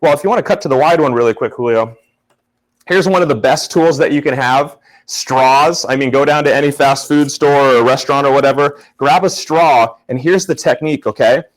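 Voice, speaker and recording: Young man, loud and casual, walks viewers through product shots live; mid-pitched voice. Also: a little fast, poor audio. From Florida. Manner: casual, upbeat, talks to chat. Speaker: photography instructor. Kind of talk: live workshop demonstration.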